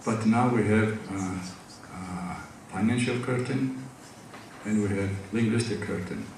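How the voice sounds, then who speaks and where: A man with a Georgian accent delivers an academic talk, his speech halting and full of fillers and restarts. His voice sits on the low side. He is heard from a distance in a large hall with heavy echo and a faint hum of ambience. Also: steady tone, darkish tone. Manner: hesitant, many fillers and restarts. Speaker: conference speaker. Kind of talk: academic lecture. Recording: echoey hall from far off.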